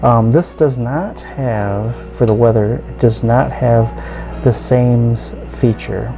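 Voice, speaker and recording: A man gives a casual hands-on demonstration in a creaky, medium-pitched voice. He explains things step by step, informally and haltingly, with music clearly audible behind him. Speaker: radio reviewer and video host.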